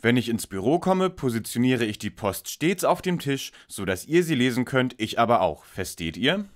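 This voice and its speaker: A man's voice: medium pitch, big pitch swings, normal speed, close to an everyday voice. A man playing a self-assured, lecturing young detective leader in an audio drama parody. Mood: smug, lecturing. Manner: precise, pompous, lecturing.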